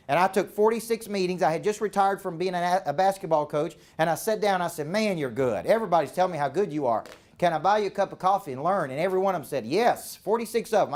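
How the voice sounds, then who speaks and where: A fairly high-voiced man with a Southern American accent coaches a live event crowd. He talks in short, punchy bursts and keeps checking in with the room. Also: a little mellow. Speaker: business coach. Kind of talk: live seminar talk to a room.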